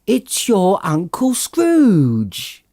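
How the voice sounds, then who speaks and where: A man voicing a gleeful, excitable young woman exclaiming at a party game in a storybook reading. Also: sits high, very slow, pitched above the performer's own voice, full character voice. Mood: gleeful excitement.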